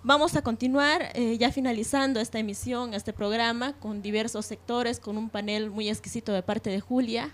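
Woman hosting a radio programme, halting delivery. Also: on the high side, steady tone. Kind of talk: semi-scripted radio presenting with news reading.

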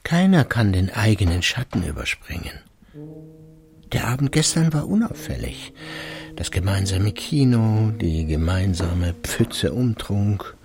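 An actor playing a brooding retired husband in his sixties who secretly drinks, muttering an evasive, halting monologue in a gravelly radio-drama voice, often sinking to near whispers. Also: lowish voice, very animated, a little mellow, exaggerated voice. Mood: wry, musing.

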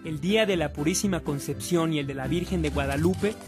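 A man with a Mexican accent narrating a saint's life in a scripted radio drama, in a measured storytelling manner. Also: a little high, music in the background, natural voice.

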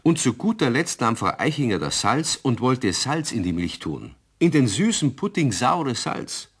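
Husky, animated male storyteller. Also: mid-range voice, measured pace. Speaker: narrator. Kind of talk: scripted storytelling narration for a radio play.

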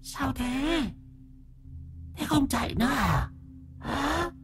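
A man voicing a vengeful female ghost with long hair, taunting and menacing in a thin, high voice. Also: very animated, takes it slowly, a bit bright, heavily acted.

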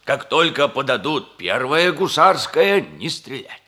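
An actor playing a commanding, excitable major in a theatrical 1960s Soviet radio comedy style. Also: male voice, mid-range voice, a little slow, exaggerated voice. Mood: instructing. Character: bluff old-army major, a commanding, excitable gentleman.

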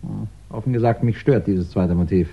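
An actor playing a thoughtful, doubting police chief in a 1960s German radio play, speaking deliberately. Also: male voice, on the low side, gravelly, heavy background noise. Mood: pensive. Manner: deliberate, pensive, hesitant. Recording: dull 1960s radio recording.

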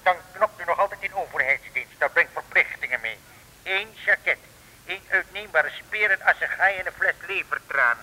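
An actor playing a pedantic, officious government clerk in an old comic radio play, speaking formally. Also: male voice, animated, normal speed, sharp tone, exaggerated voice. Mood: dryly officious. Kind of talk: 1950s-60s Dutch comic radio play acting. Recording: old radio recording, boomy reverb.

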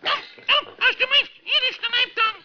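A performer voicing a whiny, demanding, grumpy male fantasy animal with a creaky voice in a children's radio play. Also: extremely high pitch, fairly even intonation, moderate pace, pushed and bright, full character voice. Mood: grudging. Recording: dull old radio play recording.

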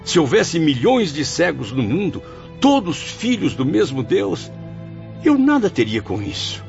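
An actor with a Brazilian accent playing a proud, embittered blind writer's spirit in a radio soap, declaiming theatrically. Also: male voice, fairly high pitch, wildly swooping pitch, a little slow, gravelly, darkish tone, heavily acted. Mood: anguished. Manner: theatrical, declamatory, grandiose, with swelling and sinking emphasis. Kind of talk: radio soap opera acting. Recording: dull lo-fi audio, music behind.

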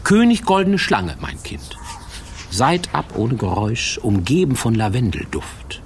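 A man acting as a deliberate, wry fairy-tale narrator in a radio play, telling it poetically. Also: medium pitch, very animated, a little hiss or hum. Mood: measured, atmospheric. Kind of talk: dramatic storytelling in a radio play. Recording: loud music under the voice.

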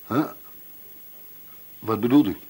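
A man's voice: lowish voice, slow pace, warm tone, breathy edge. A man playing an evasive murder suspect in a radio drama, hesitant and defensive under questioning. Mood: wary, obliging. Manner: hesitant, guarded, protesting.

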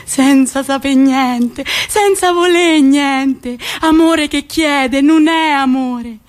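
A woman acts out a tender old grandmother from a village, with a central Italian accent. Her voice sits high as she tells theatrical memories in rustic, plainspoken, reflective phrases. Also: slow pace. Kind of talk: theatrical monologue storytelling.

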